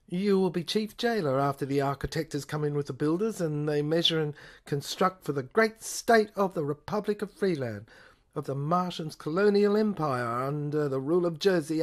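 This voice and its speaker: Woman voicing a commanding, zealous chief detective. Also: low-pitched. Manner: declamatory, clipped orders.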